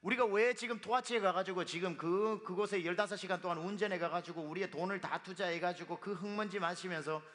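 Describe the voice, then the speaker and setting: A man preaching a sermon as pastor, his voice hoarse from dust, speaking emphatically. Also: high voice, fairly even intonation, fast talker, few pauses, fairly bright tone. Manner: emphatic, with sung and quoted lines. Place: church sanctuary. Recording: long reverb of a hall.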